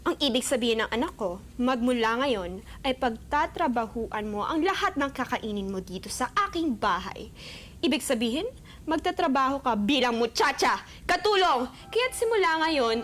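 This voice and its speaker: A woman's voice: high-pitched, lively intonation, moderate pace, a bit bright. A woman with a Filipino accent playing a scheming, haughty wealthy mother in an amateur radio drama. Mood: imperious.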